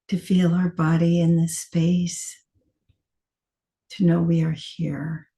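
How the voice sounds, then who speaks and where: A woman meditation teacher leading a guided meditation in soft, gentle short phrases with long pauses, sometimes trailing into a breathy near-whisper. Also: on the low side, little pitch movement.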